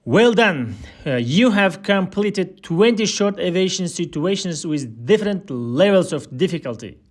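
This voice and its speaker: Male instructor, high voice, unhurried and deliberate, reading scripted air traffic control phraseology for a listening drill. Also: animated.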